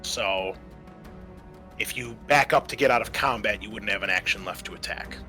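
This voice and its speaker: A man's voice: lowish voice, normal speed, sharp tone, soft background music. A man acting as game master, casually narrating a tabletop roleplaying game and explaining rules in informal, sweary talk.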